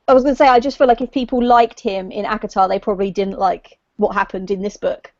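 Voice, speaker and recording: A woman's voice: mid-range voice, steady tone, fast talker, a little mellow, no room sound, dull audio. A woman talking casually in a book discussion, explaining her opinions tentatively and correcting herself as she goes.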